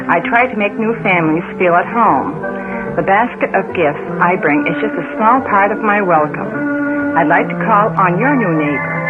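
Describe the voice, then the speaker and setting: An American woman delivers a scripted radio ad testimonial as a hostess. Music plays behind her, and the recording is tinny and phone-like. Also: lowish voice.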